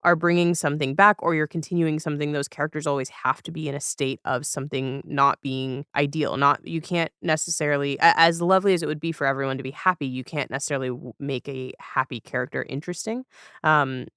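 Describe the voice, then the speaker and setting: A woman's voice: low voice, fairly even intonation, fast talker, fairly bright tone, smooth, dry recording, studio quality. A woman hosting a casual interview, phrasing things tentatively and rewording as she goes. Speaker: podcast host, interviewer.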